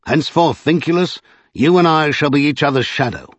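A man's voice: fairly high pitch, fairly quick, no echo, voice pushed up, close to an everyday voice. A man voicing an earnest, desperate serving man in a storybook, insistent and exasperated. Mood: resolved.

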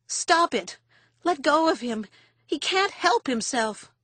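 A woman's voice: mid-range voice, moderate pace, husky, dry recording, lightly acted. A woman voicing a distressed, protective grown daughter in an audiobook, pleading and firm. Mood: frantic pleading. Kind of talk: audiobook character acting.

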